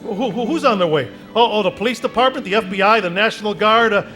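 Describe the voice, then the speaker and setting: An actor playing an excited, stammering family man in a live radio play before an audience. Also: sits high, quick pace, faint music behind. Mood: joyful. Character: overjoyed family man, exuberant father.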